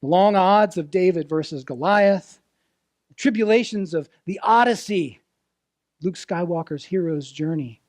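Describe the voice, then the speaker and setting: High-pitched man preaching a sermon. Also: darkish tone, no room sound. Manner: earnest, rhetorical questions, deliberate dramatic phrasing. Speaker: preacher, ministerial intern. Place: church service, speaking to a congregation.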